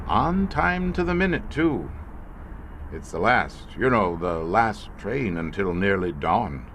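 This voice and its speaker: An actor playing a middle-aged police inspector, a former professor haunted by a secret horror, brooding and theatrical in an old-time radio horror drama. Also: male voice, lowish voice, sing-song, slow, a bit bright, slight background noise, heavily acted. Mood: businesslike, weary.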